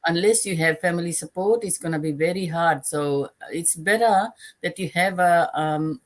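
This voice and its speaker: Older woman from Fiji, low voice, measured retired guest. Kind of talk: casual interview answers.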